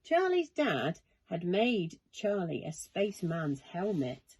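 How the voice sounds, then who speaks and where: Female children's storyteller: unhurried, animated, mellow tone with a slightly husky edge. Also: fairly low pitch. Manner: expressive, measured, dramatic. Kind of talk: children's bedtime story narration.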